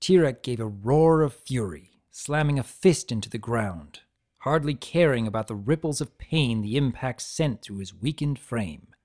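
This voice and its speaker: A man's voice: mid-range voice, expressive, normal speed, a little mellow. A man narrating a fantasy story as a dramatic reading.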